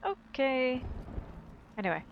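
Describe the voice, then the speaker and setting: A woman's voice: high-pitched, animated, slow pace, vocal fry, lo-fi sound, slight background noise. Casual, chatty female gamer. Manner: chatty, thinking aloud. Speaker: gamer, let's play host. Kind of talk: casual gameplay commentary.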